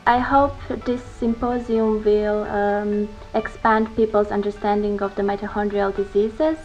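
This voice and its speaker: A young woman from Croatia, a PhD researcher giving a measured, scripted-sounding video testimonial. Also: mid-range voice, flat delivery, darkish tone, soft background music. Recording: slightly dull lo-fi audio.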